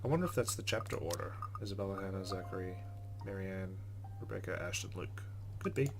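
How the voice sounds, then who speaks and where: A man reading visual novel narration aloud as a let's player, measured and reflective, with music playing behind him. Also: low voice.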